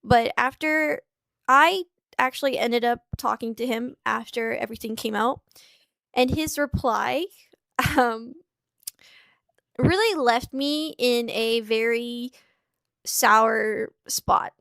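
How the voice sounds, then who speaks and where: A young woman in her twenties talks about personal matters in a halting, earnest way, often breaking off and starting again. Her voice sits high. The recording is dry and close, with almost no room sound. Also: vocal fry, fairly bright tone, no room sound. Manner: halting, tearful at times. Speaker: streamer in a played clip. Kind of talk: emotional personal account. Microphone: small clip-on mic.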